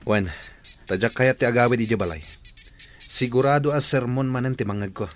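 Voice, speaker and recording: A man's voice: medium pitch, a little fast, natural voice. A man with an Ilocano accent playing a scheming young petty thief in a radio drama.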